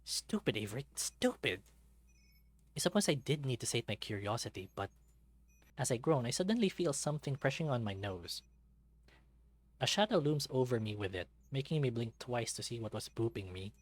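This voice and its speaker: A man's voice: medium pitch, expressive, fairly quick. A man reading scripted visual novel narration as the storyteller. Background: music.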